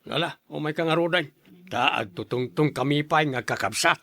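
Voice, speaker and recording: An actor playing a concerned, questioning father in a radio drama, speaking in an expressive, coaxing way. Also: on the high side, normal speed, gravelly, no room sound.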